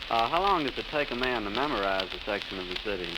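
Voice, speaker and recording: A man's voice: fairly low pitch, expressive, fast talker. An American man hosting an old-time radio interview in a formal, slightly hesitant announcer's manner. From United States. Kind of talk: 1930s-40s radio interview. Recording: scratchy, hissing old 78 rpm radio disc.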